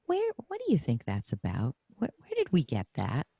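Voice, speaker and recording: A middle-aged woman hosting a conversational interview, animated and effusive as she thinks aloud. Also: very deep voice, normal speed, vocal fry, no echo, studio quality. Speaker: podcast host and interviewer.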